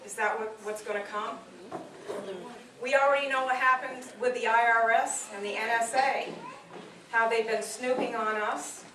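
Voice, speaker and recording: A woman with a soft voice giving an earnest speech at a public meeting, pushing her voice to carry. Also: fairly high pitch, steady tone, moderate pace. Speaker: local taxpayer group speaker. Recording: distant, echoey and noisy room.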